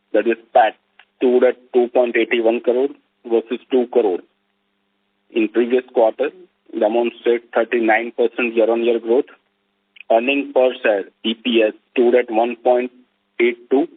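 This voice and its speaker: Phone-line voice: male company finance executive, Indian accent, monotone and formal, reading prepared results in short phrases. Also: medium pitch, husky. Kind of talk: prepared financial results presentation on an earnings call. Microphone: telephone conference call. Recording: narrowband, tinny phone line.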